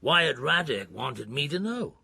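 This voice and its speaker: Creaky-voiced, dogged police detective. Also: male voice, on the high side, big pitch swings, unhurried, sharp tone, full character voice. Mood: reflective, brooding. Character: weary, dogged middle-aged male police detective recounting a case. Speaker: narrator and lead character. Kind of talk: radio drama acting, first-person narration.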